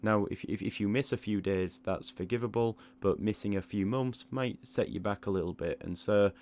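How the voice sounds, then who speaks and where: A man giving a reflective advice monologue in a measured, explanatory way, sometimes repeating small words mid-sentence. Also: lowish voice, a little mellow. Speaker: online art channel creator.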